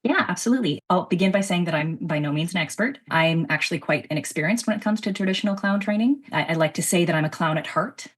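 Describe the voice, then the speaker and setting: A woman playwright answering an interview in an enthusiastic, explanatory, conversational way. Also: low voice.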